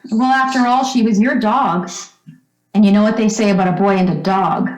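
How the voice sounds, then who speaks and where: A woman's voice: mid-range voice, moderate pace, lightly acted. Woman playing a fussing mother, echoey. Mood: gently probing. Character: fussing, middle-aged mother of a returned son. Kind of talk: old-time radio drama acting. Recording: roomy, echoing recording.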